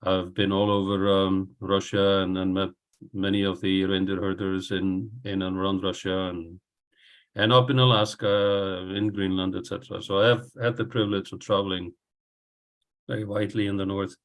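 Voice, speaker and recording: A man, speaking as a guest on a video call in a rambling, unhurried, self-deprecating way. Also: low voice, flat delivery. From Iceland. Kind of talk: casual online talk. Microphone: computer on a video call.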